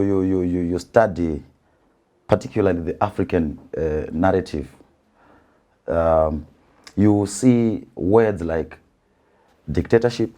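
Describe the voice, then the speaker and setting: A man from rural Zimbabwe with a Zimbabwean accent, an author explaining his views in an interview with deliberate, emphatic repetition. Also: deep voice, warm tone.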